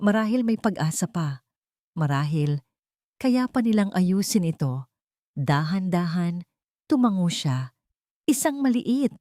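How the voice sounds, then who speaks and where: A low-voiced woman reads a dramatic love story. Her phrasing is measured, with deliberate pauses, and the recording is dry and close. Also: a little mellow, no echo. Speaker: audio story narrator. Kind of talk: dramatic scripted romance story narration.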